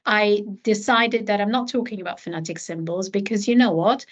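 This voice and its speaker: A woman's voice: mid-range voice, fairly quick, muffled recording. A woman from Palestine, a language-education academic, speaking earnestly as an interview guest, with frequent fillers and repeated words. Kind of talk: reflective interview conversation.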